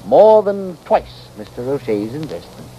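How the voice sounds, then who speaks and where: An unflappable frontier financier out to fleece people. His voice is soft-edged and sweeps up and down through pompous, wordy phrases. It is vintage radio drama with light background hiss. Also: on the high side, big pitch swings, a little slow. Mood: pompous assurance. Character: smooth-talking, unflappable swindling financier in the Old West. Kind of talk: 1940s-50s radio western acting. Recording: faintly noisy vintage radio recording.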